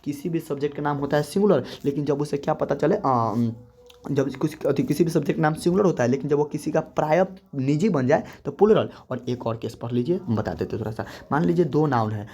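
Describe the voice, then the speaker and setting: A man's voice: on the high side, fairly quick, warm tone, slightly rough. A young man teaching a grammar lesson, often repeating points and drawing out example phrases.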